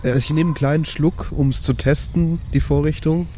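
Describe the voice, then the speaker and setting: A man's voice: a little high, fairly even intonation, moderate pace, dark tone, slight background noise. A man chatting casually as a player in an improvised tabletop roleplaying game. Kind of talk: casual improvised in-character chat.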